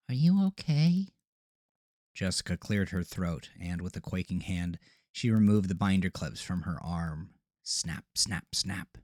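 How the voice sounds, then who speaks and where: A man narrating a scripted horror story with deliberate, dramatic storytelling. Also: low-pitched, dry recording, clean studio sound. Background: music.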